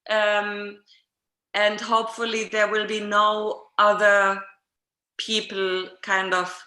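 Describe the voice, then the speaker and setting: A woman's voice: medium pitch, completely flat delivery, slow, bright, forceful tone. A woman hosting an unscripted discussion, searching hesitantly for her words. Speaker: host of an art talk session. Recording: echoey room.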